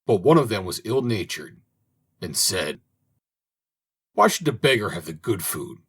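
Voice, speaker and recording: Husky man reading a fairy tale aloud. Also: lowish voice. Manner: slow, expressive reading. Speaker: audiobook reader. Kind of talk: storybook narration.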